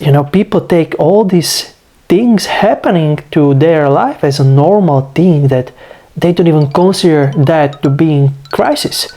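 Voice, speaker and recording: A man's voice: on the high side, a little mellow. A man in his twenties from Slovakia, speaking English with a Slovak accent, a little under the weather, rambling casually as a channel host and filling his talk with "you know" and "like.". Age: 20s. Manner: loose and rambling, leaning on fillers like "you know" and "like". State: a bit sick. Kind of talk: casual vlog monologue.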